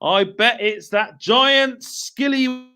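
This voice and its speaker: Woman playing a mean old man for a storybook reading, sly and taunting, pitched up. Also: takes it slowly, pushed and bright, clean tone.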